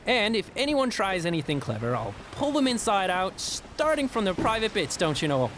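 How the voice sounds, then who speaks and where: An actor playing a big, ruthless pirate captain with folksy, mock-cheerful politeness in an audio fantasy drama. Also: male voice, sits high, very animated, moderate pace, a bit bright, a little hiss or hum. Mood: cheerful menace. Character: large, jovially menacing red-bearded pirate captain, ruthless.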